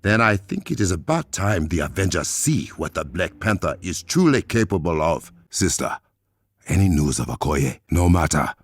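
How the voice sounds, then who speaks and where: An actor playing a regal, commanding warrior king in a video game, with an African accent, measured formal diction and a gravelly, husky voice. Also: deep voice, very animated, full character voice. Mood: commanding, resolute. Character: regal, commanding warrior king, stern and proud, adult man.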